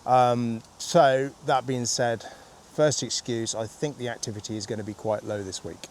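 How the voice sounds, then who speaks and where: A man in his fifties, throaty and husky from a cold, chatting casually and rambling with easy laughs as he vlogs on a rainy countryside walk. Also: mid-range voice, steady tone, normal speed, a little mellow, slight background noise. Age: 50s. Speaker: vlogger. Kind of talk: casual walking vlog.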